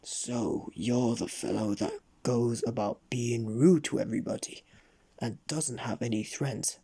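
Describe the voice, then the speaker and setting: Man as a slow, regal goblin king. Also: mid-range voice, gravelly, dark tone, slightly rough. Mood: stern, knowing. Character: stately, stern, unhurried goblin king. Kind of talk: children's storybook character voice.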